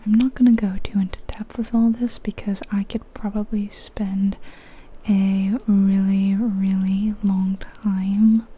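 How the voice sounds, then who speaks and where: Slow, breathy whispering woman. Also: mid-range voice. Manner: gentle, musing aloud, tentative. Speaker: ASMR video game creator, host. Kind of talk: whispered ASMR game commentary.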